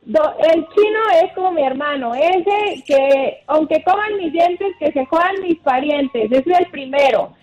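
A woman with a Colombian accent calls in and argues in an emphatic, orderly way, laying out her points one by one. Her high voice comes through a slightly muffled phone line. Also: lively intonation, measured pace, few pauses, a little mellow. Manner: emphatic, methodical, makes numbered points. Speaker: caller.

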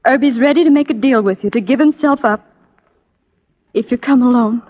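A woman's voice: on the high side, a little fast, close to an everyday voice. A woman playing an earnest, torn young spy in a 1940s radio drama, in an intense, melodramatic manner. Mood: guarded, earnest. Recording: muffled 1940s radio recording.

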